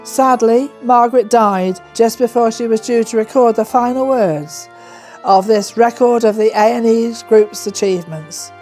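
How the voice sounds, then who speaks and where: A woman's voice: on the high side, unhurried, a little mellow, faint music behind. A woman reading scripted documentary narration.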